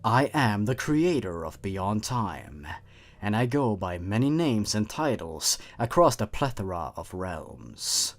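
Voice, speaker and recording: A man voicing an ancient male time being, merciless, grave, slow menacing delivery, lively pitch swings, horror story.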